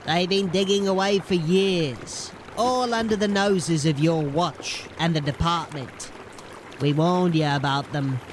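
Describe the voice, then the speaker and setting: A man's voice: on the high side, unhurried, a little hiss or hum, natural voice. A man acting a blunt, bitter tunnel crewman in a fantasy audio drama, grim and reproachful.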